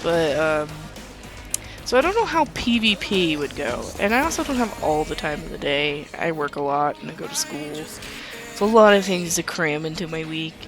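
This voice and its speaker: Young woman gaming host, calm soothing ramble, casual, background music under the voice. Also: sits low, moderate pace. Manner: calm, soothing, rambling talk with light laughs. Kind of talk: casual gameplay commentary.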